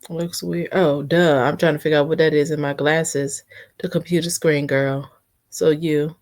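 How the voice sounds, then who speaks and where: A woman's voice: low-pitched, flat delivery, normal speed, darkish tone. A woman chatting casually in a rambling, unscripted vlog, sounding a little congested, on a built-in computer mic. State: mildly congested, sniffly. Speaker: vlogger practicing for a podcast. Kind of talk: casual personal vlog chat. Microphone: built-in computer mic, no external microphone.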